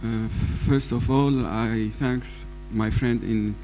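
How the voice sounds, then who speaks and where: Slow-speaking man. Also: mid-range voice, fairly even intonation, mellow, faint music behind. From Syria. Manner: slow, deliberate, apologetic about his English. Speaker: panelist, researcher.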